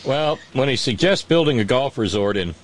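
A man's voice: mid-range voice, expressive, normal speed, a little hiss or hum. A man hosting a casual political chat, sarcastic and mocking, often restarting his sentences. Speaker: podcast host.

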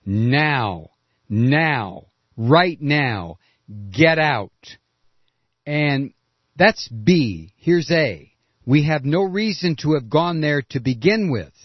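A man's voice: fairly high pitch, expressive, drawn-out words, no room sound, lo-fi sound. A man hosting a political call-in radio show, talking in a blunt, sarcastic, combative way. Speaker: radio talk show host.

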